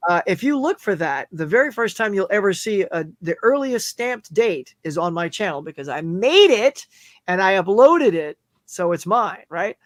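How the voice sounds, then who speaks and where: A middle-aged man with a notably high voice tells stories with animated energy, acting out other people's lines as he goes. His voice sounds strained, as if it is giving out. The sound is close and dry, with no room echo. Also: moderate pace. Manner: animated storyteller who acts out what others said. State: losing his voice. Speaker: guest YouTuber. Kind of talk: live stream chat.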